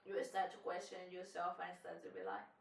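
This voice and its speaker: A woman's voice: lowish voice, lively intonation, fairly quick, creaky, far from the mic, a little hiss or hum. A woman from Colombia whose first language is Spanish, co-hosting a podcast in candid casual chat with a Colombian accent. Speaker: podcast co-host. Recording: roomy sound from off mic.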